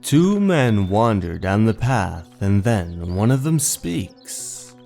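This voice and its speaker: A low-voiced man narrates a horror tale in a drawn-out, dramatic way. His pitch swings widely, and music plays under his voice. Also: big pitch swings, gravelly, warm tone. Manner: dramatic, drawn-out storytelling delivery. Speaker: narrator and host of manga readings. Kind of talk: scripted horror story narration. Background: background music.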